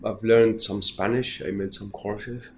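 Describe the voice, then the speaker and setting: Very low-voiced man from Syria, halting. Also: steady tone, slight background noise. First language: Kurdish. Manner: halting, thoughtful, many fillers and repeats. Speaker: actor guest. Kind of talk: casual interview answers. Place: festival interview room.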